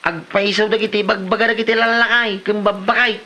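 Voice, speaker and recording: A man's voice: high-pitched, little pitch movement, fairly quick, bright, forceful tone, full character voice. A man playing a mischievous prankster husband in a rural comedy drama, with an Ilocano accent and a teasing, exclamatory delivery. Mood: mock-serious teasing.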